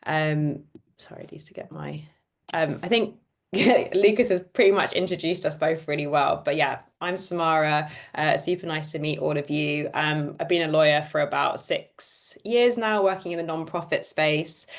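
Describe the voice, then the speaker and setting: A low-pitched woman lawyer speaks on a video call. She presents in a friendly, chatty way, with a casual "like" here and there. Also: normal speed, gravelly. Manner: friendly, chatty, says "like" often.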